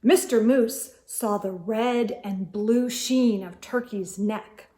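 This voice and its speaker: A woman reading a children's storybook aloud as host, in an expressive storytelling manner. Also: medium pitch, slow pace, a little hiss or hum.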